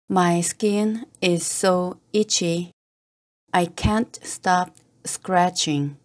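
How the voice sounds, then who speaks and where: Woman slowly drilling English phrases. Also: fairly low pitch. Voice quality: careful, clipped repetition. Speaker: English teacher. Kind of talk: slow drill reading of phrases.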